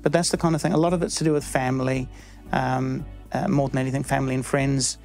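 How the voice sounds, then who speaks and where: A middle-aged man with a New Zealand accent talks through an interview in a halting way, full of fillers and restarts. His voice has a gravelly edge, and faint music plays behind him. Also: mid-range voice, little pitch movement, darkish tone. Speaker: church member.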